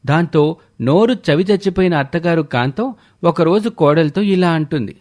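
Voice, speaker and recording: A man's voice: fairly high pitch, expressive, normal speed, darkish tone. A man narrating a scripted moral story as its storyteller.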